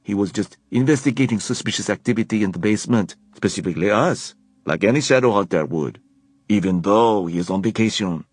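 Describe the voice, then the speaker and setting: A man's voice: on the low side, a little fast, slightly rough, dry recording. A man voicing a flamboyant young French man with a French accent, gushing and theatrical. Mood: effusive. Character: flamboyant effusive young French male Shadowhunter.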